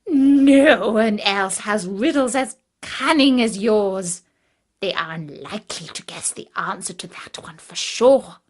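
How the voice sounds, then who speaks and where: Woman as ancient fairytale grandmother, kindly, sly, hushed, conspiratorial, very slow and deliberate. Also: mid-range voice, expressive. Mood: admiring, flattering.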